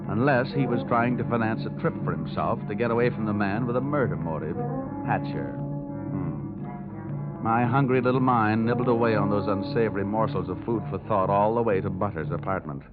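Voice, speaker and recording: An American actor playing a rugged, wisecracking insurance investigator in a vintage radio drama, wry and hard-boiled. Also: male voice, fairly low pitch, normal speed, natural voice. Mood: wry, brooding. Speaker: lead actor and narrator. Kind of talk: 1940s-50s radio detective acting. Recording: muffled vintage radio recording with music.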